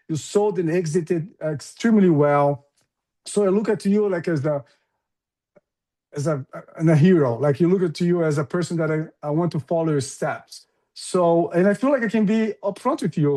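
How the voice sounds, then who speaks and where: A man's voice: fairly high pitch, warm tone. A middle-aged man from Brazil with a Brazilian accent, speaking as an interview guest in an enthusiastic, conversational way with frequent fillers. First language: Portuguese. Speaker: guest, recruiting business founder.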